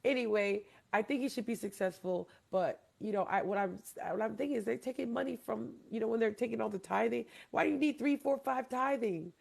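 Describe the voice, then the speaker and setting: Woman host from Hawaii, gravelly voice, rambling emphatic talker, keeps quizzing her guests. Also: on the high side, fairly quick, few pauses, darkish tone. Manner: rambling, emphatic, asks listeners questions. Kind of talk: casual debate chat.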